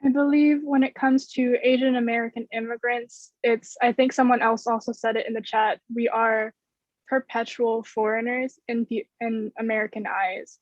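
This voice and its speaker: A woman in her twenties speaking earnestly and in a measured way as a student panelist on a dull video call. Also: on the high side, level tone, dry recording. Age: 20s. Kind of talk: thoughtful panel discussion. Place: bedroom indoors.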